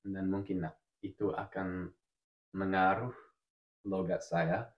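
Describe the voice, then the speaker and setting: A man's voice: sits low, level tone, roomy sound, highs cut off. A man in his twenties, a native English speaker with a cold, chatting carefully on a podcast as co-host. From Australia. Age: 20s. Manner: careful, deliberate speech as a learner. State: has a cold. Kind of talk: casual podcast chat.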